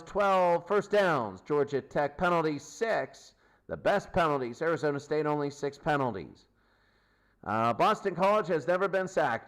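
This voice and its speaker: A man giving an unscripted sports stats recap as host, listing figures in short, clipped phrases. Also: fairly high pitch. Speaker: sports show host and commentator.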